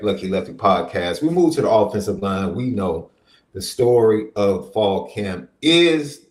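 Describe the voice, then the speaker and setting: Male podcast host, congested from a cold, lively and quick to laugh, recorded in a roomy space. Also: lowish voice. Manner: animated, laughs often, slows for emphasis. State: stuffy from a summer cold. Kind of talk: casual sports podcast chat. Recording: roomy echo.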